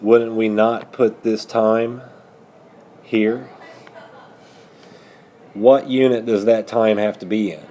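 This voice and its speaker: Slow-paced, low-voiced man teaching math. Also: steady tone, warm tone. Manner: patient, methodical, prompting with questions. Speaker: high school algebra teacher. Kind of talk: classroom math lesson, questioning students step by step.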